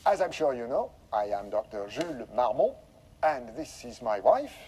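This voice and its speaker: An actor playing a falsely cheery, smug research director presenting a stilted corporate welcome video in a game. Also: male voice, medium pitch, very animated, normal speed, mellow, breathy edge. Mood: forced cheer. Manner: chirpy, stilted presenter delivery.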